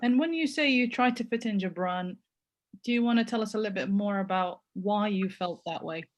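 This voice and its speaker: Woman host for an online panel: medium pitch, measured and unhurried, speaking over a video call. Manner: measured, pausing often. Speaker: webinar moderator.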